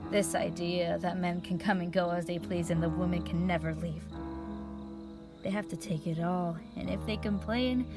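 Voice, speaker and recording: A woman playing a traumatized, vengeful mage in a dramatic audio roleplay monologue, speaking with emotion in deliberate, halting phrases. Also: on the low side, fairly even intonation, close to an everyday voice. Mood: cold, resolute. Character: traumatized, vengeful female mage recounting her past. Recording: music bed behind the voice.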